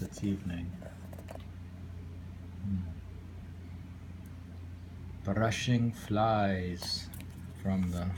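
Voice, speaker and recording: A man reading haiku aloud with casual commentary, in an unhurried, musing way with pauses between phrases. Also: deep voice. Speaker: poetry reader and commentator. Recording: clearly noisy home recording with ambience.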